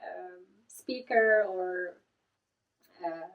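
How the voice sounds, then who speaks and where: A woman in her thirties from Morocco, Arabic her first language, answering interview questions thoughtfully and modestly with frequent pauses. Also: fairly low pitch, distant mic, phone-quality sound. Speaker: guest, author and entrepreneur.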